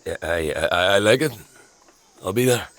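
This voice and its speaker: A man playing a brooding, reluctant fantasy hero burdened with dark powers, hesitant, guarded and blunt in an audio drama. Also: sits low, expressive, moderate pace, no room sound. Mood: shy, warming.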